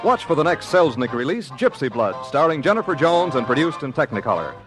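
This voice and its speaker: A man announces closing credits in old-time radio style. His voice is fairly high and very lively, with music playing behind. It has the sound of a 1950s broadcast. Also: fairly quick.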